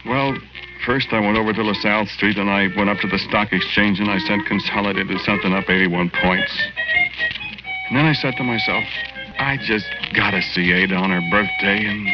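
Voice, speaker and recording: A man's voice: on the low side, moderate pace, natural voice. A man acting in a 1940s radio drama as a good-hearted, sentimental ghost, telling his story in folksy, ungrammatical slang. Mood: folksy, eager. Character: good-hearted lovelorn working-class man's ghost, sentimental and naive. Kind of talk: 1940s radio acting, first-person narration. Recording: lo-fi 1940s radio recording, loud music.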